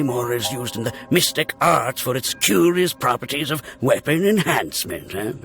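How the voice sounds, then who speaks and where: Pompous, animated male archaeologist. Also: medium pitch, gravelly. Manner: pompous, declamatory. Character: pompous, self-assured male archaeologist, theatrical and boastful. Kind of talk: video game character acting.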